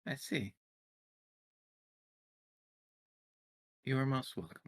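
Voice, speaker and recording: A man playing a formal, deferential servant woman in a tabletop game, speaking politely and with measured words. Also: medium pitch, dry recording, studio quality, pitched above the performer's own voice, lightly acted.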